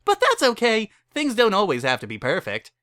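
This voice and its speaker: Casting: male performer as chirpy chapel mare, high and bright, pushy saleswoman, animated cartoon-pony delivery. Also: brisk, no echo, studio quality, full character voice. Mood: gushing, pushy. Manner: eager, chirpy sales patter. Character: pushy, cheery mare who runs a wedding chapel. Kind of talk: dramatic reading of fan fiction.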